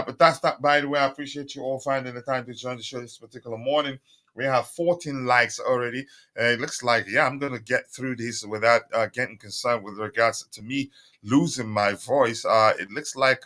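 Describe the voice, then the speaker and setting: Guy hosting a live stream, bright edgy voice, lots of uhs, keeps asking for likes, voice a bit strained. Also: mid-range voice. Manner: chatty, many fillers, repeats calls for likes. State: voice strained, recovering. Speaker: livestream host. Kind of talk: casual live stream chat reading comments.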